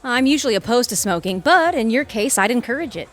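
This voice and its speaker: An actress playing an exacting, irritated senior lawyer in an audio drama, speaking in a cutting, sarcastic and articulate way. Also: female voice, fairly high pitch, lively intonation, quick pace, fairly bright tone. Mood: dry. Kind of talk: audio theatre dialogue acting.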